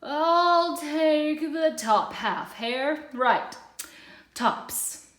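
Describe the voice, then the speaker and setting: A woman voicing a lazy, slow-witted male bear who grumbles, in a children's storybook. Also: high-pitched, lively intonation, fairly bright tone, voice pushed up, heavily acted. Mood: drowsy. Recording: echoey room.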